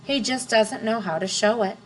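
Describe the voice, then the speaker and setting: A woman reading aloud, voicing a weary mother who speaks gently and pleads. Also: on the high side, normal speed, lightly acted, poor audio. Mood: soothing.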